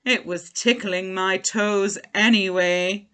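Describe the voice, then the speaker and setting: A woman's voice: mid-range voice, fairly even intonation, slow pace, a bit bright, clear, resonant tone. A woman voicing a kind, cheerful male giant in a storybook, with a hearty, sing-song manner. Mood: kindly.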